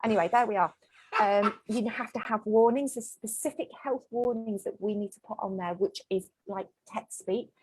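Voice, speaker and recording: A woman's voice: medium pitch, normal speed, noisy background. A woman in her forties from the UK, a business owner talking frankly and emphatically as an interview guest. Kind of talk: casual interview chat.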